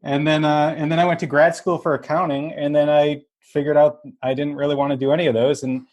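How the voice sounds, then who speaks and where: A man's voice: on the high side, flat delivery, brisk, a little mellow. Easygoing man with a light Boston accent. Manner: laughs often, easygoing. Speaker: podcast guest, real estate investor. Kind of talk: casual interview chat.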